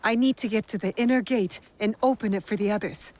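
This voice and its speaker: A woman's voice: mid-range voice, a little fast, a little mellow, lightly acted. Actress as terse, resolute young shinobi woman. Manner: terse, direct, serious. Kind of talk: video game cutscene dialogue.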